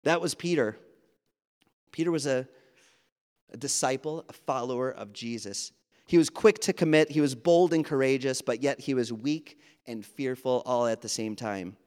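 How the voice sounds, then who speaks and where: A man's voice: mid-range voice, moderate pace, a little mellow, smooth. Man from Wisconsin preaching in a church hall. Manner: conversational preaching with fillers and asides. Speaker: pastor. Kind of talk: sermon.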